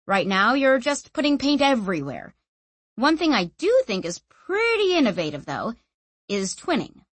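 A woman's voice: fairly high pitch, wildly swooping pitch, normal speed, no room sound, voice pushed up. A woman voicing an ancient sentient object that talks through a young woman's body in a casual, slangy, breezy way. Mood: frank, explaining. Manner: casual, slangy, matter-of-fact explaining.

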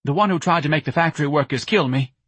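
A man voicing a timid, nervous male office manager with a shrill, piping voice. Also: fast, a bit bright, husky, no room sound. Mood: flustered.

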